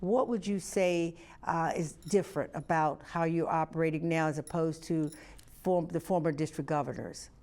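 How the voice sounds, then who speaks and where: Interviewer, female, lowish pitch. Conversational, halting, roundabout delivery. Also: steady tone, darkish tone.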